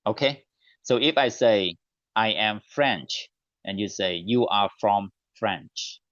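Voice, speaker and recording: A man with a Vietnamese accent, whose first language is Vietnamese, leading an online teacher training session and slowing down on the phrases he models. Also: lowish voice, fairly bright tone, no room sound. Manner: clear, deliberate classroom delivery, slows on model phrases. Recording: dull, dry online call audio.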